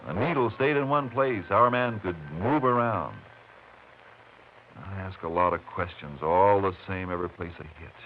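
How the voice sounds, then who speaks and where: An American actor playing a steady, dogged Texas Ranger in a 1950s radio western, speaking in a dry, plain, folksy way. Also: male voice, sits low, normal speed, lightly acted. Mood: measured, determined. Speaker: lead actor and narrator. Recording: muffled, noisy 1950s radio recording.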